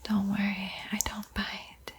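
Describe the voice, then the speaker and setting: A woman giving an ASMR art tutorial in a breathy whisper, with a soft, unhurried, playfully eerie and teasing manner. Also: medium pitch, monotone. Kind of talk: whispered ASMR painting tutorial with spooky roleplay.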